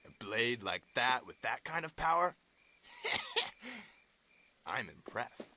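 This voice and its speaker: Slow, cutting, smirking thug boss. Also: male voice, medium pitch, very animated, bright, forceful tone, exaggerated voice. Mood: smug. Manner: mock-casual, threatening. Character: smirking male thug ringleader, greedy, menacing. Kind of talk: video game voice acting.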